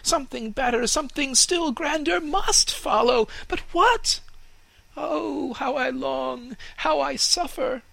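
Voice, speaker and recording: A woman's voice: high-pitched, slow pace, vocal fry, a bit bright, dry recording, pitched above the performer's own voice, heavily acted. A woman voicing a restless young fir tree in a storybook reading, full of longing exclamations. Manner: yearning, breathless exclamations.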